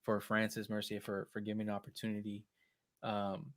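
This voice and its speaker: A percussionist in a casual live video chat, talking in an animated, fast way and now and then slipping into Spanish phrases. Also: male voice, lowish voice, dry recording. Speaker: percussionist guest.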